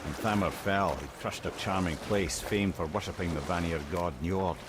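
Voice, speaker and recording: An actor playing a wise, wry old storyteller with a Scottish accent and a theatrical, lilting delivery in a video game. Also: male voice, sits low, steady tone, normal speed, noisy background, close to an everyday voice.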